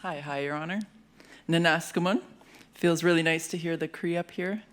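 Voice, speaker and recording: A woman's voice: deep voice, steady tone, moderate pace, fairly bright tone, a little hiss or hum. A woman with a Canadian accent hosting an awards show with playful, giggly, informal stage banter. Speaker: master of ceremonies at an awards show; hip hop artist, rapper.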